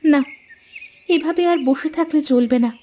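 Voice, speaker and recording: Woman acting a high-voiced devoted young sister. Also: normal speed, warm tone, lightly acted. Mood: anxious, searching. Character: devoted young village wife, one of three brave sisters. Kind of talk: Bengali moral-tale cartoon dubbing. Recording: music under the voice.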